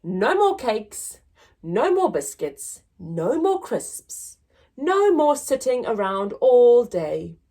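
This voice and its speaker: A woman's voice: a little high, big pitch swings, slow pace, dark tone, full character voice. A woman voicing a bossy, determined mother elephant in a children's storybook, speaking sternly and emphatically. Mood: firm, bossy.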